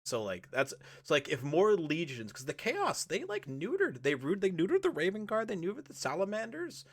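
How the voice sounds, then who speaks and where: Dude rambling on a podcast, says like a lot, keeps restarting. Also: male voice, sits high, wildly swooping pitch, normal speed. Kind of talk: casual roundtable chat.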